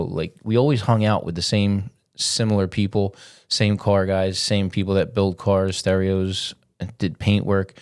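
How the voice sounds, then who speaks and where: A man's voice: low-pitched, darkish tone, dry recording, studio quality. A man in his forties, stuffed up and sniffling, hosting a casual, rambling solo podcast and telling stories with lots of fillers. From Philadelphia area. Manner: rambling, loose storytelling with many fillers. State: congested, sniffling. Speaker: podcast host. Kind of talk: casual monologue.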